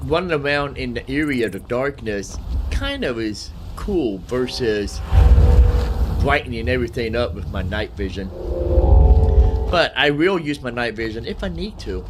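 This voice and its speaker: A man's voice: medium pitch, background noise. A man hosting a casual game livestream, rambling in a folksy way and repeating phrases for emphasis. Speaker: live streamer, gaming host.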